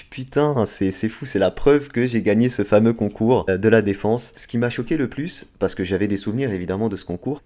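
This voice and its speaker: A young man hosts a casual vlog. His voice sits fairly low and has a soft, dark, relaxed tone. He talks informally and uses slang as he tells a personal story. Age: late 20s. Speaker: video creator and host. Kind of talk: casual anecdote to camera.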